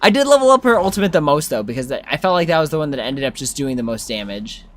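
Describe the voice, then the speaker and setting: A man's voice: a little high, big pitch swings, fast talker. A young man giving casual livestream game commentary, thinking aloud as he plays and chatting with viewers. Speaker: game streamer.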